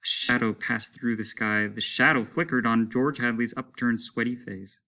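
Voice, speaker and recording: A man's voice: medium pitch, pushed and bright. Man reading a story aloud. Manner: measured, descriptive narration. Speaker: teacher.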